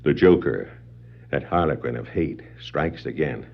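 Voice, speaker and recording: A man's voice: a bass voice, animated, measured pace. A man giving dramatic scripted narration for a 1960s superhero adventure record. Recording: dull vintage vinyl record, music behind.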